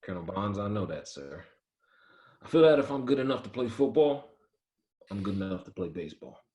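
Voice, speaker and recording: A man playing an earnest, principled young Army soldier in a screenplay table read, measured and quietly firm. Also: on the low side, very animated. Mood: respectful, firm. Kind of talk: screenplay table read, first-person narration and dialogue.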